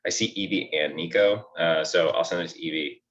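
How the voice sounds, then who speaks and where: Very deep fast-talking man from Boston. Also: fairly even intonation, fairly bright tone. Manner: brisk, to the point. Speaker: chief resident. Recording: dull lo-fi video call audio.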